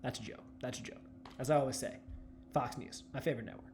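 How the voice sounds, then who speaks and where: A man in his thirties, a stand-up comic from New Jersey, with a mid-pitched voice. He spins long personal stories and lands punchlines deadpan. When he quotes other people, his voice turns animated. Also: quick pace, slight background noise. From New Jersey, USA. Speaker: stand-up comedian and journalist. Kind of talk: stand-up storytelling.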